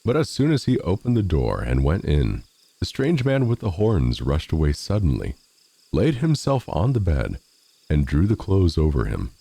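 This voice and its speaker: Male narrator for classic fairy tales, low and mellow, with very wide swings in pitch. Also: huge pitch swings, moderate pace, gravelly. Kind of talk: scripted storybook narration.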